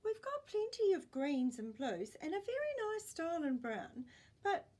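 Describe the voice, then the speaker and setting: A woman's voice: very high-pitched, big pitch swings, a little slow, darkish tone, pitched above the performer's own voice, full character voice. A woman voicing a courteous, fussy male shop salesman in a storybook, with polite, apologetic sales patter.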